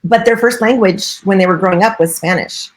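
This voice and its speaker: A woman's voice: medium pitch, a little fast, poor audio. A middle-aged woman, a real estate broker and coach, giving chatty, earnest interview answers with frequent filler phrases.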